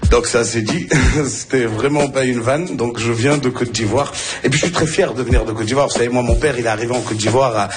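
Ivorian-born comic, average pitch, teasing story-joke delivery. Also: male voice, a little fast, over music. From Ivory Coast. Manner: playful storytelling with acted quotes. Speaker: stand-up comedian. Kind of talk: stand-up set on stage.

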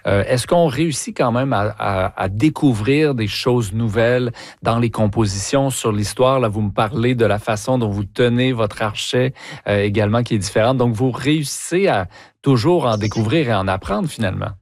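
A man interviewing a guest, asking questions and guiding gently with fillers. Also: mid-range voice, normal speed, no room sound, clean studio sound. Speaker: interviewer, host. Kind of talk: radio interview.

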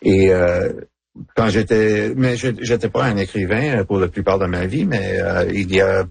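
A middle-aged man, a novelist whose first language is English, speaking French haltingly in a radio interview with many fillers and restarts. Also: deep voice, creaky, slightly rough, dry recording. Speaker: novelist guest.

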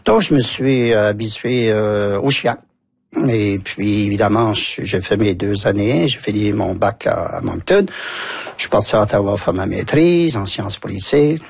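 A husky-voiced man in his sixties with a Canadian French accent, recalling his past at an unhurried, halting pace full of fillers. He sounds close and dry, with no room around him. He comes across as a relaxed guest in a radio studio. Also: lowish voice, no echo. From New Brunswick, Canada. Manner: hesitant, many fillers and restarts. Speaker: interview guest. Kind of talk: relaxed storytelling in conversation.

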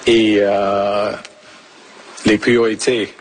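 A man speaking slowly and formally, listing groups one by one. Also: fairly low pitch, level tone, a little hiss or hum.

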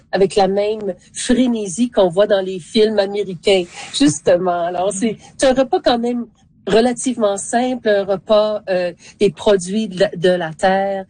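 A woman's voice: medium pitch, warm tone. An older woman, giving hesitant conversational answers as a panel guest.

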